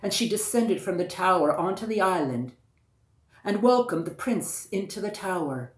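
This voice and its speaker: A woman telling a folktale aloud in a deliberate manner, repeating phrases for emphasis. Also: mid-range voice, animated. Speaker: storyteller. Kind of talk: oral folktale storytelling. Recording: echoey, roomy sound.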